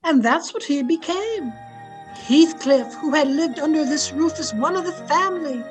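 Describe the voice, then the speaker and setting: A high-pitched female storyteller, acted by a woman, narrating an old-time radio drama with clear music behind her. Her delivery is reflective and dramatic. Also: animated, moderate pace. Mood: solemn recounting. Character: female storyteller recalling a family's past.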